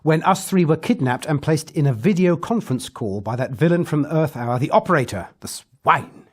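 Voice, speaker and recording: A man's voice: a little high, expressive, moderate pace, husky. A man playing an eccentric, whimsical time traveller, narrating an audio drama recap in an arch, mock-grand way with quaint turns of phrase. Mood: arch, brisk.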